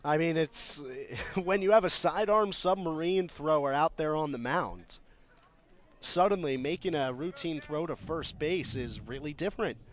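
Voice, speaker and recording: A man's voice: fairly high pitch, a little slow. A man giving conversational live radio analysis as a baseball color commentator.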